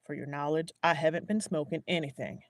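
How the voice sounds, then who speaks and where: A woman plays a young farm-raised man with a drawl. He mutters dryly and jokes back low. The voice is close and dry. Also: fairly quick, dry recording.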